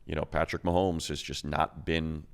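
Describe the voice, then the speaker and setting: A man with an extremely deep voice and plenty of gravelly creak co-hosts a sports show. He lays out his analysis casually, as if in conversation. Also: flat delivery, normal speed.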